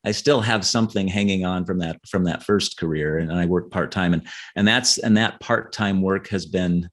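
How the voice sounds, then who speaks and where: A man's voice: low voice, normal speed. A middle-aged man chatting earnestly as an interview guest, often repeating words and checking in with "right?". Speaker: author guest. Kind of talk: casual interview chat.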